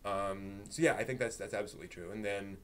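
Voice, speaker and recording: A man's voice: lowish voice, quick pace. A man talking casually as a live stream host, in a chatty, jokey way. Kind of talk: casual group discussion. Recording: clear background noise and ambience.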